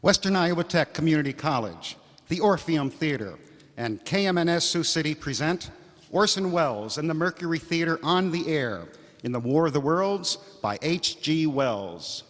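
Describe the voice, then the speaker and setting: A man's voice: on the high side, expressive, moderate pace, close to an everyday voice. A man playing a courteous, formal program announcer in a 1930s-style radio drama. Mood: formal, showy. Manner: formal, presentational. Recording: reverberant theater hall.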